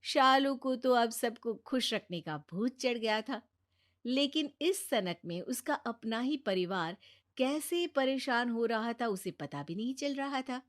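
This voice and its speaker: A woman's voice: on the high side, animated, a little slow. A woman narrating a scripted children's story as its storyteller.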